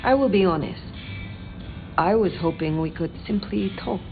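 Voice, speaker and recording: A woman portrays an elder vampire sheriff from a video game, formal and direct. Her voice sits a little low, with deliberate, archaic phrasing and unhurried authority. The audio is a touch dull, with faint music beneath. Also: lightly acted. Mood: candid. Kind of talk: video game dramatic dialogue.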